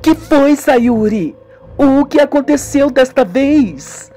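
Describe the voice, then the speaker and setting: A woman's voice: high voice, expressive, slow, dark tone, soft background music, voice pushed up. A woman playing an earnest, devout young sister in a radio soap opera, speaking in an emphatic, declamatory way. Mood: concerned.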